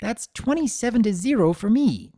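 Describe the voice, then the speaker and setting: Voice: medium pitch, expressive, moderate pace, mellow, no room sound, clean studio sound, voice pushed up, close to an everyday voice. A performer voicing a bouncy, earnest little pony girl with an eager, childlike delivery, in a dramatic fan fiction reading. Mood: playful, teasing. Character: Sunny, bouncy excitable little filly girl, earnest and playful.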